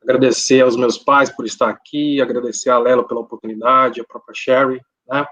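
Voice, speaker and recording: A man, introducing himself as a panelist in relaxed conversational talk. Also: medium pitch, a little fast, no echo, rough recording. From Brazil, São Paulo coast. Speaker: panelist, tech manager. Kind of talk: self-introduction at a live online event.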